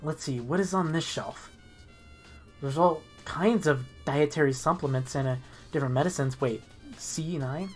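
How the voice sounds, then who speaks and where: A woman's voice: sits low, normal speed, a little mellow, soft background music. A woman streaming a story game, reading the protagonist's lines aloud in a casual, sometimes profane way.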